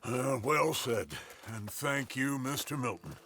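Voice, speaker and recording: Slow, courteous ranch boss. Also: male voice, mid-range voice, fairly bright tone, a little hiss or hum. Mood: shaken gratitude. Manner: halting, courteous. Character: grateful, shaken ranch boss with courteous formal manners. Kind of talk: naturalistic video game drama acting.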